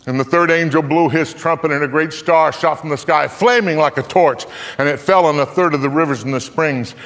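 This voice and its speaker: An older man giving a live theatrical recitation of scripture on stage, solemn and declamatory, with deliberate pauses and swells. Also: fairly high pitch, a bit bright. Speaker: dramatic reader. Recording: reverberant hall, long echo.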